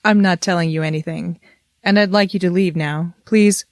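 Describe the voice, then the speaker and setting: A woman's voice: fairly low pitch, steady tone, moderate pace, dry recording, lightly acted. A woman playing a guarded, dry-witted companion to a time traveller in an audio drama, reserved and understated. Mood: guarded, curt.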